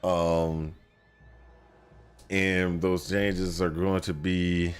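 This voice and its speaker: A man's voice: sits low, level tone, drawn-out words, soft background music. A young man streaming a video game, talking casually with many fillers and restarts while sniffling from a cold. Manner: rambling, lots of fillers and restarts. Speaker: gaming streamer. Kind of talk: casual gameplay talk.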